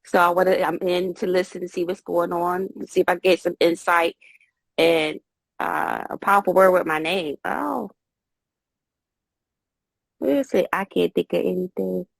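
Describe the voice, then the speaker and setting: A woman's voice: fairly low pitch, normal speed, creaky, long pauses, dry recording, low-quality recording. A woman who is a registered nurse, shy and giggly, briefly introducing herself on a video call. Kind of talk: casual self-introduction on a group call.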